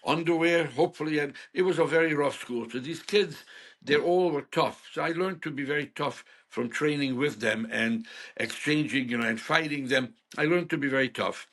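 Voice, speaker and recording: A man's voice: a little high, normal speed, a bit bright. A man in his seventies from Hungary, speaking, telling stories frankly as a guest in an interview. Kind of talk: storytelling interview.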